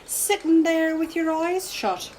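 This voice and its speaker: A woman's voice: extremely high pitch, animated, takes it slowly, a little mellow, a little hiss or hum, full character voice. An actress playing a handsome young Irish village girl in a radio play, teasing and scornful in manner. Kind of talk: radio play acting of rural Irish dialect.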